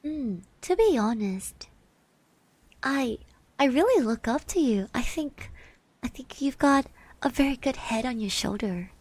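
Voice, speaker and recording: A woman playing a shy, friendly schoolgirl classmate in an ASMR roleplay, speaking softly, gently and hesitantly. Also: high voice, expressive, a little slow, dry recording, exaggerated voice. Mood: soft, admiring. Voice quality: soft, breathy. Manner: hesitant, gentle, with little hums and trailing repeats. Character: shy, friendly high school girl classmate, admiring and easily flustered.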